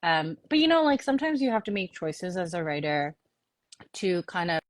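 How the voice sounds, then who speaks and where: Woman novelist guest, Korean as first language, earnest and chatty, lowish voice, dry close sound. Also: big pitch swings, moderate pace, no room sound. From New York, United States. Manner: earnest, with many "you know" fillers. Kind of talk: casual interview conversation.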